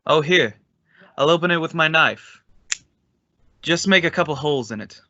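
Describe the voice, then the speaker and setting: An actor plays an unhinged man of old radio suspense, bright-edged and ominously understated. He speaks with measured, sardonic menace in a dry, slightly dull recording. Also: a little high, expressive, dry recording. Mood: casual, ominous. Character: unhinged escaped madman, quietly menacing old acquaintance.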